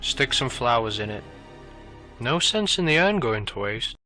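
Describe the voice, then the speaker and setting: A man acting a cold, contemptuous, domineering rich father in an animated drama, with clipped delivery. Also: mid-range voice, unhurried, over music. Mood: cold, bitter.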